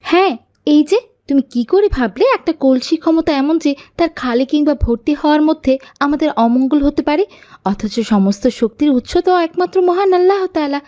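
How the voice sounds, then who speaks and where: Woman voicing a high-pitched, mellow, preachy young girl. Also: animated, a little fast. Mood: earnest, instructive. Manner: didactic, explanatory. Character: pious young girl, younger sister, earnestly correcting superstitions. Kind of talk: Islamic cartoon dialogue, moral lesson.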